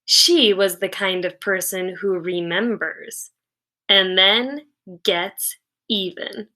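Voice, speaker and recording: A woman reading a children's novel aloud like a teacher, with expressive storytelling and deliberate, dramatic emphasis on key words. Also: mid-range voice.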